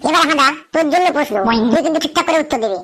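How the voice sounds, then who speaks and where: Lady doing a fed-up cartoon teacher, high bright voice, quick talker. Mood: scolding. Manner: scolding, exasperated. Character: exasperated schoolteacher woman, madam.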